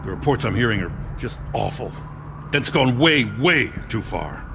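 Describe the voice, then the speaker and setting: A man's voice: medium pitch, expressive, normal speed, creaky, noisy background. An actor playing a dutiful middle-aged male police lieutenant in a video game, earnest and frustrated. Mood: weary, troubled. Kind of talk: video game dramatic acting. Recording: music behind the voice.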